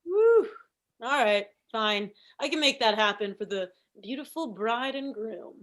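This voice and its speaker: An actress playing a brisk, cheeky but generous bartender in a comedy table read. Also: female voice, fairly high pitch, expressive.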